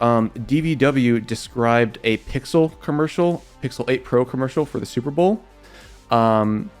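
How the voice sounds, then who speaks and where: A young man with a slightly raspy voice, casually answering viewer questions on a livestream in a rambling, filler-heavy way. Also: medium pitch. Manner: rambling, loose, many fillers and repeats.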